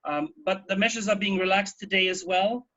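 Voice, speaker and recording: A man's voice: high voice, fairly quick, bright, forceful tone. A man co-hosting a radio show from home in a casual, chatty, explanatory way, often checking in with a "right" or "yeah". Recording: dull, lo-fi home recording.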